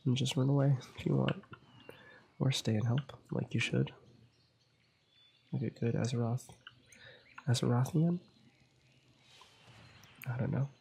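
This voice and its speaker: A young man with a cold, quietly commenting on his gameplay in a soft ASMR style, often slipping into whispers. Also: mid-range voice, fairly even intonation, unhurried, vocal fry, long pauses, a little hiss or hum. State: has a cold. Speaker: ASMR gaming channel host.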